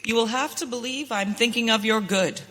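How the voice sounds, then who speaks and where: A woman's voice: a little high, fairly quick, fairly bright tone, natural voice. Woman doing masked cowboy-era heroine, firm n proper, radio play, echoey noisy hall. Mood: resolute, urging. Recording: noisy hall with long reverb.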